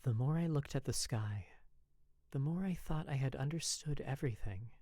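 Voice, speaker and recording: An expressive, measured narrator reading a first-person dramatic story. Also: medium pitch, no room sound, studio quality. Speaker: podfic narrator.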